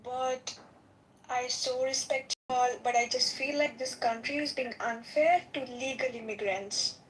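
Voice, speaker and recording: Earnest high-voiced Indian woman. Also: fairly even intonation, a little slow, a little hiss or hum, low-quality recording. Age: 20s. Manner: earnest and apologetic. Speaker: caller, graduate student. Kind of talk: casual talk in an audio chat room.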